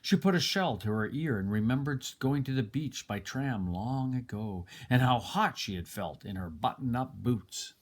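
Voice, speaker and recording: A man reading a children's story aloud in an expressive narrating style. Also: mid-range voice, moderate pace, hardly any pauses. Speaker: storybook reader.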